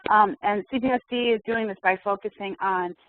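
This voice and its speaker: A woman representing an advocacy coalition, giving a measured overview with "um" fillers. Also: mid-range voice, slight background noise. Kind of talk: prepared overview on a webinar. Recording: tinny phone line.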